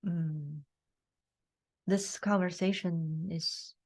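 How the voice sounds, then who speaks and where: A woman from China whose first language is Chinese, hosting a reflective conversation, speaking slowly and haltingly with many fillers. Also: fairly low pitch, fairly even intonation. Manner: slow, halting, soft-spoken with frequent fillers and laughs. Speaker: host.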